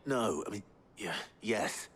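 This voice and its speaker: An actor playing a stoic, brooding fantasy hero in a video game, terse and earnest. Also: male voice, fairly low pitch, lively intonation, slow pace, slightly rough, heavily acted. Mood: weary, patient. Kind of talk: cinematic video game acting. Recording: music behind the voice.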